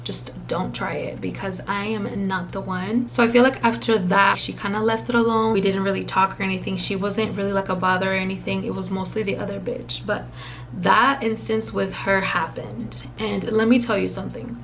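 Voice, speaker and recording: A young woman in her twenties telling a story to her camera in a casual, rambling way. She is profane, leans on lots of fillers, drops in Spanish phrases, and her voice is a little raspy from a dry mouth. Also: medium pitch, fairly even intonation, moderate pace. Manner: chatty, profane, rambling with many fillers and asides; mixes in occasional Spanish phrases. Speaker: vlogger.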